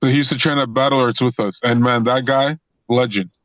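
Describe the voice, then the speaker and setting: Young male wrestler guest, easygoing, rambling restarts, mid-pitched, dry mic. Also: brisk, no room sound. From Toronto, Canada. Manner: relaxed, repeats words and restarts often, laughs easily. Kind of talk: casual interview chat.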